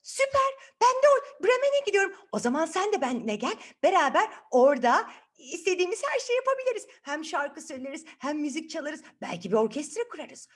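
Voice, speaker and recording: Woman playing an eager, high-voiced storybook donkey. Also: very animated, fairly quick, few pauses. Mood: excited, inviting. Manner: bubbly, eager, exclaiming. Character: aging donkey, eager friendly dreamer of street music.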